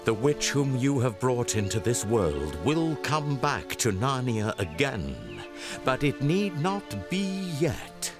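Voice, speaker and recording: An actor playing a great lion ruler in a radio drama, solemn, grave and tender, with a measured, majestic delivery and weighty pauses. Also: male voice, medium pitch, very animated, music in the background.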